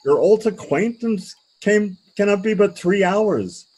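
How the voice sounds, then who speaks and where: An actor playing a grieving, repentant king and father in a Shakespearean radio play, husky, grave and deliberate. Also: high-pitched, animated, a little mellow. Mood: awed, tender.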